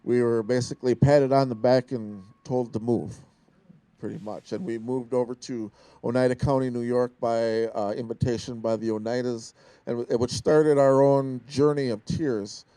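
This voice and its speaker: A middle-aged man with a big, carrying voice, giving an earnest, storytelling speech to a crowd, often pausing with "uh" and restarting his sentences. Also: medium pitch, steady tone, dark tone, clear, resonant tone, no echo. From Wisconsin, USA. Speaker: invited speaker. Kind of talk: public speech at an outdoor event.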